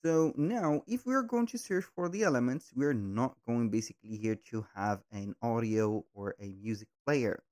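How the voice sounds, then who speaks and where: A man hosting a step-by-step software tutorial, speaking in halting, repetitive phrases with slightly non-native grammar. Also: medium pitch. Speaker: tutorial host.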